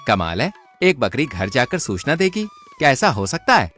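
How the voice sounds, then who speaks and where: A man's voice: mid-range voice, very animated, moderate pace, a bit bright, soft background music. A man voicing a greedy, gullible village swindler in a cartoon moral story, with a scheming manner.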